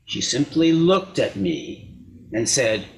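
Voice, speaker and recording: A man playing a wounded, self-justifying husband looking back on a failed marriage in a measured, reflective dramatic monologue reading. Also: medium pitch, very animated, low-quality recording. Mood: wounded, puzzled. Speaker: actor in a readers theater performance.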